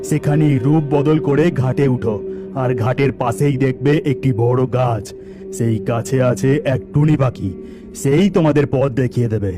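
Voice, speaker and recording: A wise, kindly water god, voiced by a man in a cartoon. He speaks at a mid pitch in a measured, solemn way, with loud background music behind him. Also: lightly acted. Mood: urgent. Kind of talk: cartoon voice acting.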